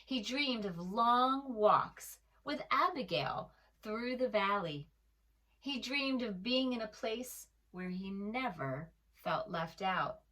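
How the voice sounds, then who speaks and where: A woman storyteller reading aloud to preschoolers in an expressive way, pausing to address the young listener directly. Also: fairly high pitch, far from the mic. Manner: slow, animated, addresses the young listener with questions. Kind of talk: expressive children's read-aloud.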